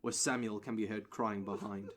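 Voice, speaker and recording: A man's voice: on the low side, steady tone, normal speed, a bit bright, a little hiss or hum. A man reading scripted narration for an audio play, telling the story scene by scene.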